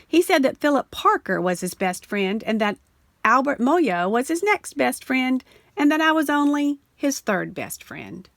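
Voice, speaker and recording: A woman reading a children's picture book aloud in an animated storytelling way, slowing down and sinking low on the repeated refrain. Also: creaky, no room sound, clean studio sound. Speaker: storybook reader.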